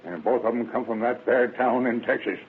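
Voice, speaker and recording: A man's voice: mid-range voice, brisk, slight background noise, close to an everyday voice. An actor playing a stern, pious, stubborn old rural father with folksy rustic speech in a radio drama. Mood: stern, annoyed. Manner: gruff, folksy rustic talk. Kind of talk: 1930s radio acting. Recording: muffled phone-like vintage radio recording.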